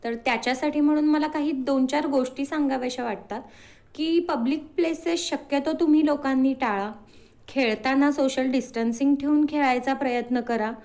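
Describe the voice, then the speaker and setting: A woman's voice: high voice, moderate pace, darkish tone. A woman answering as an interview guest, earnestly explaining and mixing in English words.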